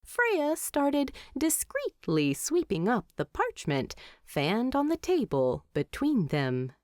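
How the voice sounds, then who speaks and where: A woman narrator reading fan fiction aloud in an expressive storytelling style. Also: fairly high pitch, slow pace, dry recording, studio quality. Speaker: audiobook narrator.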